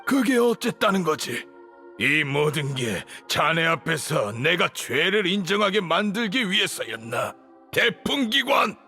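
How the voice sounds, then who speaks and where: Casting: haughty older scholar-villain for a game, gravelly, slightly high voice, pompous authority. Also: male voice, big pitch swings, measured pace, sharp tone, soft background music, full character voice. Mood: indignant, cornered. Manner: pompous, commanding, indignant. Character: arrogant older male grand sage villain. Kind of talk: dramatic game voice acting.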